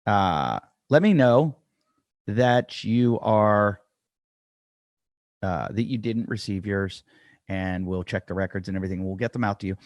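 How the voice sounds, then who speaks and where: A man in a dry, close-miked room hosts a casual podcast in a lowish voice. He rambles, jokes at his own expense and leans on fillers. It sounds like an unscripted chat to his listeners. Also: lively intonation, measured pace, pauses often, no echo. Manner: rambling, self-deprecating, with frequent fillers. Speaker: podcast host.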